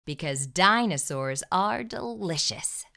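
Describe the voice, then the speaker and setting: A woman reading a children's storybook aloud in an expressive, deliberate storytelling manner. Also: lowish voice, gravelly, very noisy. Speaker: storybook read-aloud host.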